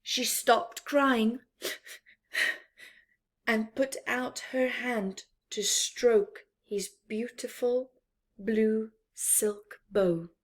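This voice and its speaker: Female storyteller for young children's picture book, higher-pitched voice, unhurried, dramatic read-aloud delivery with hushed touches. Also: fairly even intonation. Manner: slow, deliberate, dramatic, often dropping to a hushed whisper.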